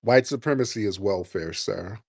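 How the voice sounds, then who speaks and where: A man's voice: on the low side, normal speed, creaky, husky, no room sound. A man hosting a call-in radio show, arguing with callers and interrupting them to lecture. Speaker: host.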